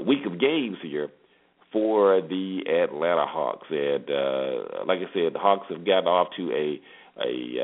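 A man hosting a sports show, giving conversational commentary and recaps with pauses and repeated words. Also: low-pitched. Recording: tinny narrow-band phone-like sound.

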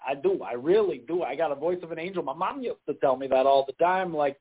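A man's voice: fairly high pitch, expressive, quick pace, breathy edge. Sweaty showbiz consultant, a man doing the bit, rambling, self-important, tinny phone-like sound. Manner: rambling, hesitant, full of restarts and garbled sayings. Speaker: guest.

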